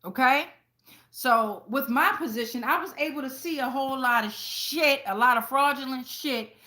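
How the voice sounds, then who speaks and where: A woman's voice: high voice, normal speed, bright, forceful tone. A woman host giving a casual tarot reading with personal stories, speaking bluntly with lots of swearing and checking in with her viewers. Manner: conversational, addresses viewers directly with frequent check-ins. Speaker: tarot reader and channel host.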